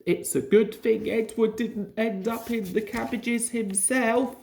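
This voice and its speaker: Woman reading a slow-spoken, authoritative railway boss. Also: on the high side, fairly even intonation, vocal fry, a little mellow. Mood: relieved. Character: astonished, kindly, authoritative male railway controller.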